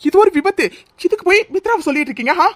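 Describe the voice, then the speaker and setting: A woman's voice: extremely high pitch, expressive, very fast, no echo, clean studio sound. A woman playing an indignant younger relative, scolding and protesting, in a melodramatic audio serial. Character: indignant younger female relative defending an accused girl.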